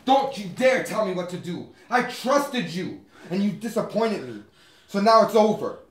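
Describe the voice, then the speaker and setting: An actor playing a sleepless, paranoid young man in a horror drama, speaking in a halting, agitated, sweary way. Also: sits low, close to an everyday voice. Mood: furious. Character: sleepless, paranoid, foul-mouthed young man haunted at home. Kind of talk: found-footage horror film acting, confessional to camera. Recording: roomy echo, faint background noise.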